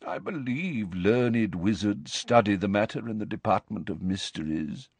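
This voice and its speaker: Man voicing a mournful, slow-spoken gentleman ghost. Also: on the low side, animated, breathy edge, no room sound, heavily acted. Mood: wistful. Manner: slow, hesitant, sighing, formal and quiet. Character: mournful, resigned, courtly male ghost, gentle and evasive. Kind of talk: audiobook character voice.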